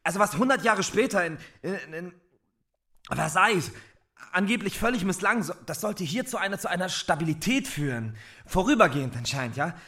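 An actor playing an agitated, intense young teacher who speaks haltingly, repeating himself and breaking off mid-sentence. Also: male voice, sits high, expressive, pushed and bright, exaggerated voice. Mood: feverish, rambling. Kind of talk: stage drama acting.

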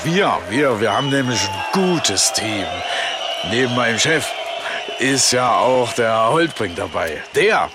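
A man playing a simple-minded, boozy campaign aide in a satirical radio play, stammering and halting in colloquial speech over loud music and crowd. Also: medium pitch, sing-song, very noisy. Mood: flustered, bumbling.

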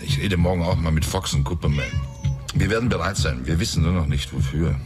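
Male actor, detective's sidekick, deep voice, practical, laid-back, radio drama dialogue, faint music. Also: brisk, lightly acted. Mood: businesslike.

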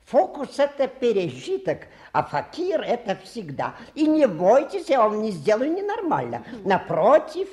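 A male actor playing a touchy, boastful travelling fakir in a radio play, with pompous, halting, theatrical patter. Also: very high voice, wildly swooping pitch, darkish tone, full character voice. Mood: indignant, self-important.

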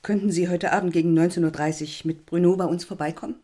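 A courteous, reserved mother from a drawing-room comedy, played by a woman. Her voice sits low, composed and measured, with irritation held just under the good manners. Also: steady tone, warm tone, close to an everyday voice. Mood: polite, composed. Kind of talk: stage comedy dialogue in German audio drama.

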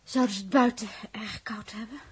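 A woman's voice: a little high, fairly even intonation, a little fast, husky. A woman acting a sensitive, anxious young crew member in a 1960s radio drama, with a plaintive, hesitant delivery. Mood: worried. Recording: noisy old radio recording.

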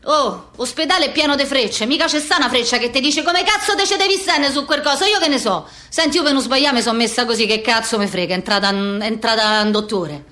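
High-pitched, brash Roman street girl. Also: fast, few pauses, bright, forceful tone. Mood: agitated, indignant. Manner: blunt, agitated, repetitive. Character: brash, foul-mouthed young Roman street girl telling her troubles. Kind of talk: theatrical monologue in street slang.

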